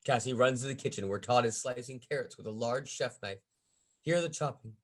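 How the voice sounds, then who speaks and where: A man's voice: medium pitch, brisk. A man reading stage directions as the narrator of a script table read.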